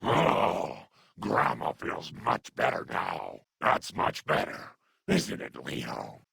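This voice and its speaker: A man voicing a doting, scolding, unhinged elderly mutant grandmother in a video game, with a gravelly, husky, breathy voice and cooing pet names. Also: mid-range voice, huge pitch swings, slow, bright, forceful tone, no echo, studio quality, heavily acted. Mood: weary, unwell. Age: older. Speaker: video game companion character. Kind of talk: video game character acting.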